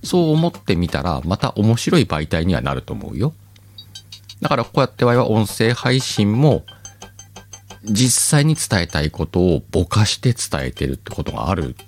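A man hosts a casual solo podcast, chatty and teasing, often chuckling at his own remarks. His voice sits very low, yet his intonation swings widely. Soft background music runs underneath. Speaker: podcast host.

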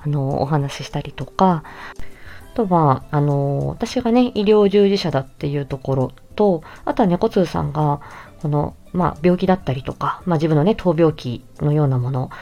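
Low-pitched, softly mellow woman chatting solo. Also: expressive, normal speed, lo-fi sound, soft background music. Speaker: voice actor presenting own work. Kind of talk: casual solo announcement talk.

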